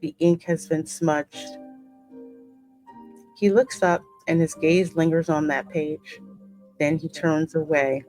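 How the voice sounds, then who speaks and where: A woman with a slightly low voice hosts a game playthrough. She reads story lines theatrically and drops into casual commentary, with soft music underneath. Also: fairly even intonation, takes it slowly, pauses often, poor audio. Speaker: gaming channel host. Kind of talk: reading game dialogue aloud with casual reactions.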